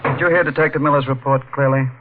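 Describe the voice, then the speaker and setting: An actor playing a stern, shrewd police captain with a deliberate, accusing manner, as in a 1940s radio thriller. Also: male voice, mid-range voice, steady tone, close to an everyday voice. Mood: stern, probing. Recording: thin, tinny old radio recording.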